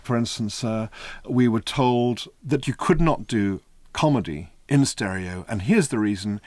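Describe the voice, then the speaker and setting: Male interviewee, mid-pitched, close dry recording, recounting behind-the-scenes anecdotes. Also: no echo.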